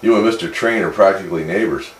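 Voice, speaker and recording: An American man in easygoing, slightly halting casual conversation as a CB radio operator. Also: low-pitched. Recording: roomy, noisy CB radio audio.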